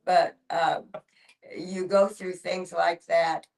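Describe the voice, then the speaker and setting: An older woman with a low voice talks slowly and haltingly, telling personal stories to a group. The mic is some distance away. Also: steady tone, vocal fry, a little mellow. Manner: halting, with pauses. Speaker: discussion group participant. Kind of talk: personal storytelling in a group discussion.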